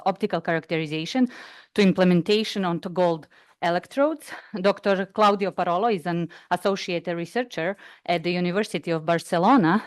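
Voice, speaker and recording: A woman with a lowish, clear voice reads a formal speaker introduction at a workshop. She moves through it steadily, with an occasional stumble, and the sound is close and dry. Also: dry recording. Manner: reads a prepared introduction with some hesitations.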